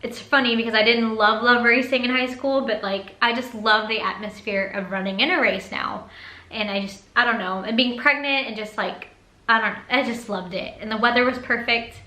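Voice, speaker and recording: High, bright young woman in an echoey room. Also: brisk. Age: young adult. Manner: chatty, animated retelling with acted quotes. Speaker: vlogger. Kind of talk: casual story time vlog. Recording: roomy echo.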